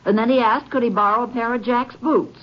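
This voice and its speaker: A woman's voice: a little high, steady tone, a little fast, natural voice. An actress playing a plain-spoken, disapproving middle-aged country woman with a drawl in a radio western. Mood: earnest recounting. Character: plain-spoken rural stepmother, disapproving and forthcoming. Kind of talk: old-time radio western acting. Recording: dull old radio recording.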